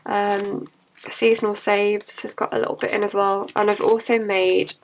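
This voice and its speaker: A young woman chatting casually to camera about her budget, her voice croaky and cracking from a cold, with chatty, apologetic asides. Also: mid-range voice, little pitch movement, moderate pace, a little hiss or hum, low-quality recording. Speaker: budgeting vlogger.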